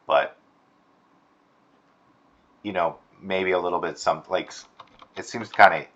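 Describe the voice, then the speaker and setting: Male instructor, deep voice, informal and encouraging critique delivery, tentative phrasing, muffled lo-fi sound. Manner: encouraging, tentative, softening suggestions. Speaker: animation instructor giving a critique. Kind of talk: casual spoken critique of student work. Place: screen-recorded voice-over.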